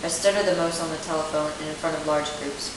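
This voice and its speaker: A young woman plays a self-conscious teenage client in a role-played therapy session, her voice low. She reads her answers steadily, as if from a script. The sound is roomy and distant, with a constant hiss behind it. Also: quick pace, close to an everyday voice. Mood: earnest. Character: self-conscious eighteen-year-old woman client who stutters. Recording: echoey room, distant mic, steady hiss.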